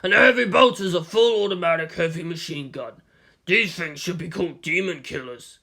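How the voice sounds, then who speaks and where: Picture a man playing a gunsmith in his seventies from an audio story. His voice crackles with gravel and carries a hard, forceful edge at a slightly raised pitch. He speaks bluntly and matter-of-factly, with a world-weary temper. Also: normal speed, heavily acted. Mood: matter-of-fact, grim.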